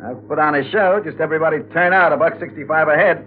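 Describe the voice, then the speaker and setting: A muffled 1940s radio recording with a narrow sound. An actor plays a brash prizefighter, his voice fairly high, talking in breezy wise-guy slang. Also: quick pace, a little hiss or hum, lightly acted. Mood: cocky, breezy. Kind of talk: 1940s radio thriller acting.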